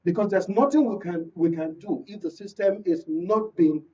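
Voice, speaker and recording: A husky Nigerian man with a slightly high, mellow voice speaks as a guest on a political panel. He is deliberate and emphatic, repeating himself for weight. The sound is dull and picked up from a distance. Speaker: panel guest from the creative industry. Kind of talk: political discussion on a radio and TV panel. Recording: muffled, distant-mic, lo-fi studio pickup.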